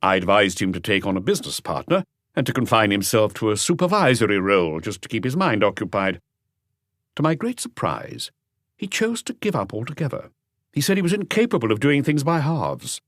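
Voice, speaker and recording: A man's voice: fairly low pitch, very animated, brisk, dry recording, clean studio sound. A man voicing an earnest, talkative doctor who explains things with assurance in an audiobook mystery. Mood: frank, explanatory. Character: earnest male doctor, talkative and conscientious family friend.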